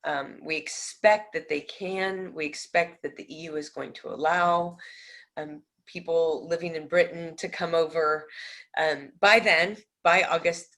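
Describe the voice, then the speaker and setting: A middle-aged ministry director with a slight Tennessee-tinged Southern accent, giving a casual, earnest update and asking listeners to pray. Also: female voice, low-pitched, steady tone, measured pace, fairly bright tone. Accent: Tennessee-tinged American Southern. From Nebraska. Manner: earnest, chatty, asks for prayer, self-teasing.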